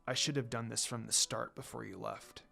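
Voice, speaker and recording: A devoted demon lover, voiced by a man reading a story, speaks gently in hushed, tender tones. Music plays softly behind the words. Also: medium pitch, fairly even intonation, a little fast, gravelly, pitched below the performer's own voice, exaggerated voice. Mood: hushed, loving. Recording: music under the voice.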